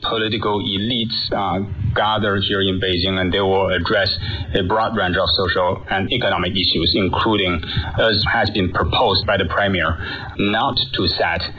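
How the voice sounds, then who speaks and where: A man explaining policy in a measured way as an expert interview guest. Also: low voice, steady tone. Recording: muffled shortwave radio capture.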